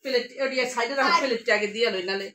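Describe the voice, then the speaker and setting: A woman's voice: a little high, racing. Bangladeshi woman vlogging casually at home. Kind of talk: casual home vlog narration and family chat. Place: indoors at home. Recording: household noise, clattering dishes.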